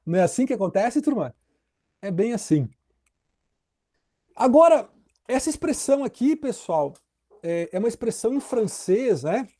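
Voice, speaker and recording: A man's voice: very high voice, very animated, normal speed, long pauses, warm tone. A middle-aged man giving a law lecture, talking casually to his students and checking in with them often. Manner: conversational, addresses students directly with frequent tag questions. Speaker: law professor. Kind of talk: recorded lecture on legal theory.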